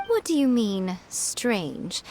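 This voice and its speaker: A woman's voice: mid-range voice, sing-song, slow, darkish tone, a little hiss or hum. A woman playing a poised, sly, playfully teasing lady of authority in a video game, smug and knowing. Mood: mock offended. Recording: faint music behind the voice.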